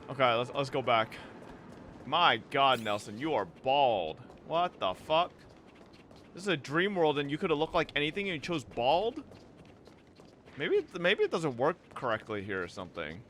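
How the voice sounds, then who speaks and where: A young man streaming a game, reacting with loud, profane, joking running commentary over game music. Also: a little high. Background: game music and dialogue.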